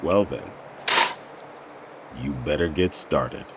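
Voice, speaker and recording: A man's voice: rumbling low, lively intonation, slow, vocal fry, heavy background noise, exaggerated voice. A man playing a tough, teasing survival trainer in an audio drama, blunt and instructive. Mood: dry. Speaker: combat mentor character. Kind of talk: acted audio drama dialogue.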